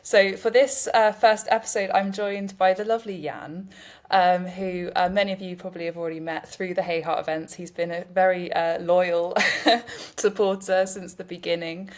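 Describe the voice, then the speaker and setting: A woman's voice: fairly low pitch, fairly even intonation, moderate pace, a little mellow. A woman hosting a conversational podcast interview, speaking in a gracious, informal way. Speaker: podcast host and poet.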